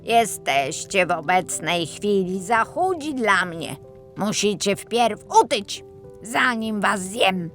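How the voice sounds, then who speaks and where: A woman voicing a cruel, bossy old witch who gloats over her prey in a slow, drawn-out, menacing way for a children's fairy tale. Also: mid-range voice, expressive, fairly bright tone, faint music behind. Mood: gloating, menacing.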